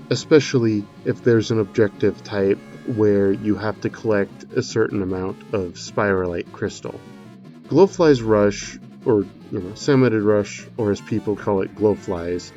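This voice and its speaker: Low-voiced man doing game analysis voice-over. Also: mellow, highs cut off. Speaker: video creator giving game trailer analysis. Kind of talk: semi-scripted voice-over commentary and speculation.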